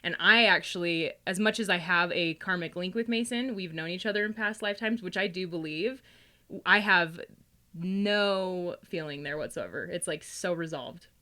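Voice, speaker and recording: Woman chatting casually in a bright, forceful voice. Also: on the low side, normal speed. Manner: giggly, laughs often while talking; colloquial, heavy use of "like". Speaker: podcast guest, psychic reader. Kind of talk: casual podcast chat, animated storytelling.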